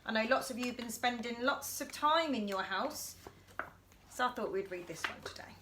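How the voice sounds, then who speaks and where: A woman reading a bedtime story to young children in an expressive way, putting questions to her listeners. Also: on the high side, normal speed, noisy background. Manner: animated, acts out dialogue, asks listeners questions.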